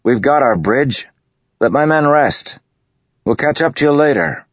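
A deep-voiced man doing a determined young soldier, urgent. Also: normal speed, a bit bright, husky, no echo. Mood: insistent. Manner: urgent, commanding, raised voice. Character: young male soldier leading a bridge crew, determined. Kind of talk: audiobook character reading. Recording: dull, lo-fi dry recording.

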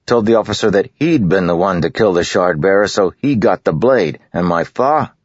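A man reading aloud, voicing a big, stubborn, boastful boy who talks loudly in rough dialect. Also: low-pitched, animated, moderate pace, dry recording, pitched above the performer's own voice. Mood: insistent. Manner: loud, insistent, dialect-speaking. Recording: dull lo-fi recording.

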